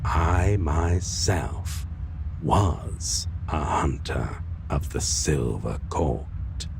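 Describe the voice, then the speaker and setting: A man voicing an arrogant, taunting vampire in a dark fantasy audio roleplay, savoring his words theatrically with sinister amusement. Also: expressive, extremely slow, noisy background, heavily acted. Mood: gloating, taunting. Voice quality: deep, gravelly, breathy at times. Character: taunting, arrogant male vampire lord, formerly a hunter.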